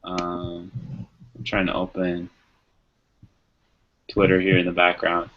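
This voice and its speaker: A man's voice: sits low, monotone, slow pace. A man chatting casually in an online group discussion, helpful and encouraging as he facilitates. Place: online video call. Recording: dull lo-fi video call.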